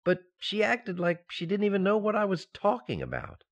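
An actor playing a flustered, easily shocked husband and father-to-be in a radio sitcom, excitable and dithering. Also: high-pitched, moderate pace, heavily acted. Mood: fretful. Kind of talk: old-time radio sitcom acting.

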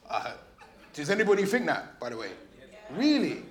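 A man hosting a podcast live on stage, chatty and laughing, with loud bursts to the audience. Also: a little high, wildly swooping pitch, moderate pace. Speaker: podcast host.

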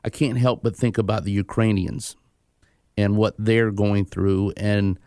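A man hosting a radio interview, asking questions in a measured, conversational way. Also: lowish voice, steady tone, mellow, clean tone, no room sound, studio quality. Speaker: radio show host.

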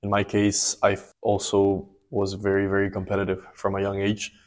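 A man in his twenties, born in Ecuador and raised in Miami, answering interview questions calmly and matter-of-factly. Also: fairly low pitch, normal speed. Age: 20s. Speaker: guest, race car driver.

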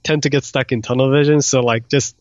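A man's voice: medium pitch, steady tone, brisk, no room sound. A man from Sweden chatting casually as an interview guest, on a slightly lo-fi recording. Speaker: guest, concept artist.

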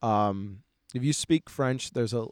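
A man's voice: mid-range voice, takes it slowly, clean tone, dry recording, clean studio sound. A man chatting casually, telling laid-back travel stories and briefly acting out exchanges. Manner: relaxed storytelling, acts out short exchanges. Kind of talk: casual chat.

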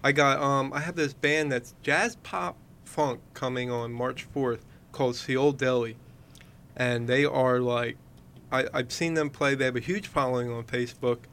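A man's voice: mid-range voice, fairly even intonation, a little slow, fairly bright tone. A man hosting a radio show who rambles in casual chat, full of fillers like "you know". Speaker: radio host. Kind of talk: casual radio chat promoting upcoming shows.

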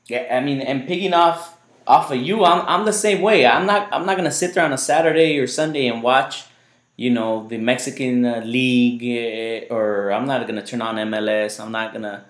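A man's voice: mid-range voice, moderate pace. A man hosting a casual podcast chat, rambling loosely and repeating his words, whose first language was Spanish. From United States. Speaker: podcast host. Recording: echoey room.

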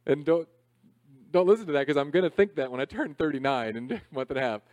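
A man in his thirties preaching a sermon in a conversational, self-deprecating way, swinging between loud emphasis and quiet asides. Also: fairly high pitch, expressive, fast, dry recording, dull audio. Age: 30s. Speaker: pastor.

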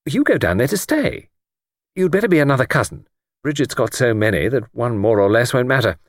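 A man voicing a brisk, confident, chummy male friend in audiobook dialogue, breezy, slangy and emphatic. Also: medium pitch, big pitch swings, no echo, studio quality. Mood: brisk, persuasive.

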